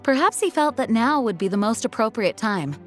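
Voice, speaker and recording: A composed knight commander. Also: female voice, fairly high pitch, very animated, faint music behind. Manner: formal, measured, courteous.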